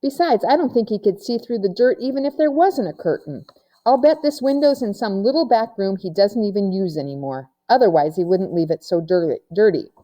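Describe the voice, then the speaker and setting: A woman reading a storybook aloud as a cautious, gentle young girl with a reassuring way about her. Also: medium pitch, lively intonation, a little fast, natural voice, low-quality recording. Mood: wary. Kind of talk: children's storybook read-aloud.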